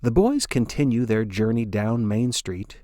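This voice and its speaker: A man playing an excitable, fawning sports broadcaster in a dystopia, calling the action in a theatrical, grandiose manner. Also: mid-range voice, a little slow, no echo, studio quality, lightly acted. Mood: amused, brisk. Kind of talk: play-by-play sports commentary.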